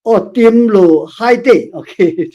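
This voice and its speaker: Female amateur reader, Taiwanese accent, hesitant and word-by-word; very slow, muffled lo-fi call sound. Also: medium pitch, dark tone. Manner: hesitant, sounding out words piece by piece. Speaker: participant reading a script. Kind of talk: halting read-aloud. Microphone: online call. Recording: dull lo-fi online call audio.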